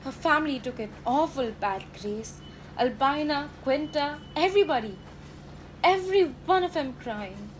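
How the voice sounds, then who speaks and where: A woman's voice: sits high, lively intonation, normal speed, slight background noise, low-quality recording. A teenage girl playing a sentimental, gossipy working-class factory girl in a radio drama, folksy and dramatic. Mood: mournful. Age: teenage high school student.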